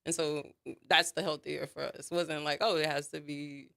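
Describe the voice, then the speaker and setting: A woman with a New Orleans accent, a chef and business owner, speaking softly as a guest on a radio show. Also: low-pitched, little pitch movement, normal speed, creaky. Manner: soft-spoken. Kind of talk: radio interview.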